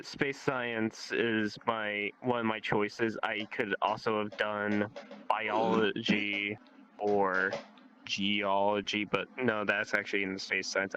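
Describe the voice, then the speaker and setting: A man's voice: lowish voice, pushed and bright, a little hiss or hum, rough recording. A teenage young man in a casual, rambling chat, swearing offhandedly and leaning on "like". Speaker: guest telling life experiences. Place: online voice chat in a VR social game.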